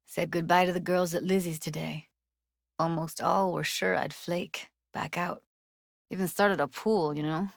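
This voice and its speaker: A woman's voice: on the low side, steady tone, unhurried, creaky, no echo, clean studio sound. A woman playing a guarded, blunt but tender young techie in a video game, speaking in a casual, clipped, streetwise way. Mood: reflective, tender. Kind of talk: naturalistic video game voice acting.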